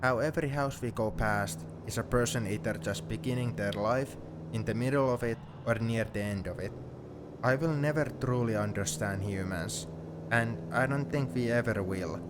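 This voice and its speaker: A man's voice: mid-range voice, moderate pace, natural voice. A man playing a sentimental, teasing young friend heading to university, in an intimate one-sided ASMR roleplay. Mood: wistful, reflective. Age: young adult. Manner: reflective, playfully defensive. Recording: steady car noise behind the voice.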